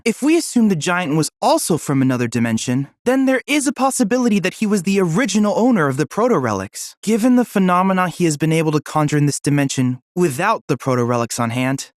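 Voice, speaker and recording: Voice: medium pitch, dry recording, studio quality, close to an everyday voice. An actor voicing an earnest, brainy boy-like android assistant in a video game, youthful-sounding and speaking in formal, precise, bookish phrases. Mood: pensive, measured. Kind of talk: video game voice acting, scripted dialogue.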